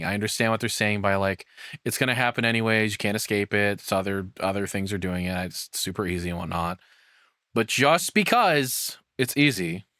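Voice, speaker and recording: A man's voice: lowish voice, a bit bright, no room sound, studio quality. A man giving casual, rambling reaction commentary as a streamer, speaking informally and swearing freely.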